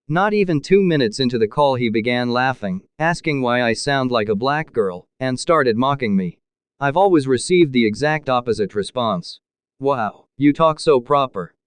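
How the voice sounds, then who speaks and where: A man's voice: medium pitch, animated, moderate pace, smooth, no echo. A man narrating forum posts aloud in a matter-of-fact reading style.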